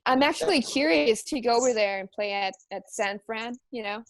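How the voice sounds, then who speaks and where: A woman, a singer guesting on a podcast, chatting in a relaxed, easygoing way. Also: fairly high pitch, moderate pace, no room sound. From Mexico. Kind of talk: casual interview chat.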